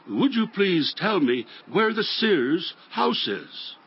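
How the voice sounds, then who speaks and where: Humble young Israelite, higher husky voice, animated earnest questioning, dull recording. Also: male voice, slow, full character voice. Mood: earnest, polite. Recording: dull lo-fi audio.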